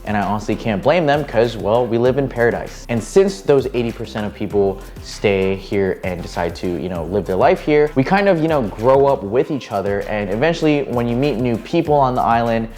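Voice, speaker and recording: A man's voice: medium pitch, expressive, a little fast, few pauses, darkish tone, faint music behind. A young man from Hawaii, a real estate agent hosting a casual vlog, talking in an upbeat, chatty way full of "you know" fillers.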